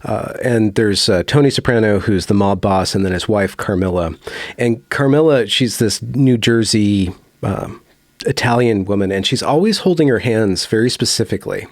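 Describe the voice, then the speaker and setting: A man's voice: lowish voice, moderate pace, no echo. A man hosting an interview, asking long, reflective questions with frequent fillers. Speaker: host.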